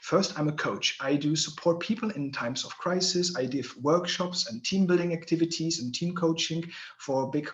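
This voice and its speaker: A man from Germany, speaking English as a while hosting a live online tour over a video call, chatty and friendly with many fillers. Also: mid-range voice. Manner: friendly, chatty, slightly non-native phrasing with fillers. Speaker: tour guide and host.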